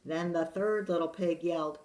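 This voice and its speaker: Brief: sly smooth-talking wolf, fairly low, chatty and confiding, read by a woman, slight room echo. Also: steady tone, normal speed, darkish tone, lightly acted. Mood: protesting innocence. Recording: roomy echo.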